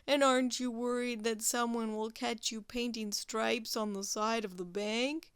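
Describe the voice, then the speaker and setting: A woman's voice: fairly high pitch, fairly even intonation, unhurried, clean tone, dry recording, clean studio sound. A woman voicing a gloomy walrus who worries and moans about everything. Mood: worried. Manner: moaning, fretful.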